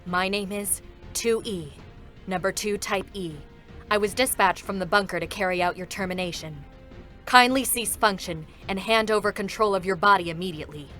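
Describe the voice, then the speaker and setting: An actress playing a cold, dutiful executioner android, formal and controlled, in a dramatic reading of a game character. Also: female voice, medium pitch, normal speed, sharp tone, close to an everyday voice. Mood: cold, commanding. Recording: music behind the voice. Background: music.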